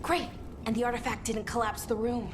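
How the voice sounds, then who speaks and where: An actress voicing an earnest, gentle young female artifact expert in a video game, speaking conversationally with slight hesitancy. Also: a little high, steady tone, fairly quick, noisy background, lightly acted. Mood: focused, earnest.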